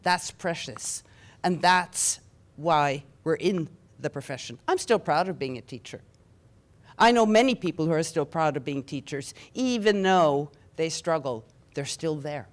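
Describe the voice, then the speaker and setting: Norwegian woman, 60s, low-pitched, earnest panel speaker. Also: expressive, a little slow. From Norway. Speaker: panelist, union official. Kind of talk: panel discussion.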